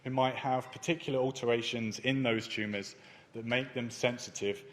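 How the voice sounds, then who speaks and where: Man giving a measured conference talk. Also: medium pitch, steady tone. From not Germany. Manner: measured, with frequent ums and uhs. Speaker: conference speaker, cancer researcher. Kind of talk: conference presentation. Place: conference hall.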